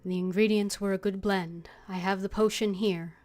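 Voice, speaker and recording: A woman's voice: mid-range voice, steady tone, normal speed, a little mellow. A woman game streamer, switching between voicing her character's lines and casual commentary on her play. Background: faint game music.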